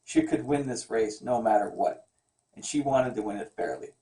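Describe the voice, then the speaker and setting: A man's voice: lowish voice, normal speed, mellow, distant mic. A man giving an amateur read-aloud of a novel, joking and teasing in asides and stumbling over words. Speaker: comic artist reading wife's novel aloud. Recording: roomy echo, away from the mic.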